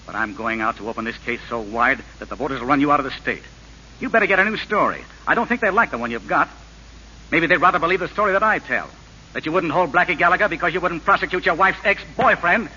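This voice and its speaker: An actor playing a corrupt, resentful assistant prosecutor in old radio drama, with a sardonic, needling manner. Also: male voice, on the high side, expressive, fast talker, bright, forceful tone. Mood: bitter, threatening. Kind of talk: 1930s radio acting. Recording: muffled old radio recording with hiss.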